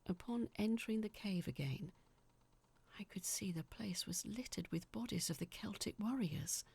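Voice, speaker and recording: A woman reading a scripted first-person story as narrator, in a measured, dramatic storytelling manner. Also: medium pitch, expressive, vocal fry, a little mellow, breathy edge.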